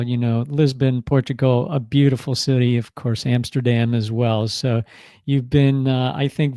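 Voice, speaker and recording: A man's voice: medium pitch, dark tone, no room sound, clean studio sound. A man hosting a business podcast and asking his guest questions in a friendly, enthusiastic way. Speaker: podcast host. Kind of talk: conversational podcast interview.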